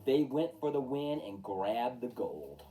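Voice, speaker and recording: A man reading a rhyming children's picture book aloud with rhythmic, emphatic, expressive delivery. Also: mid-range voice, a little slow, slight background noise. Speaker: storytime reader. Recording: distant from the mic.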